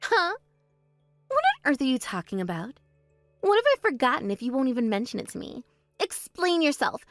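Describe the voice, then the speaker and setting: A woman's voice: sits high, big pitch swings, moderate pace, a bit bright, no room sound, faint music behind. A woman voicing a bratty, boastful younger sister who mocks and brags in a voice drama. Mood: indignant. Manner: mocking, spiteful bragging. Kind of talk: voice drama, one performer.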